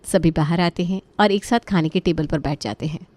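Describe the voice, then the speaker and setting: A woman's voice: on the low side, a little fast, a little mellow, no echo. A woman narrating a scripted family story as a storyteller.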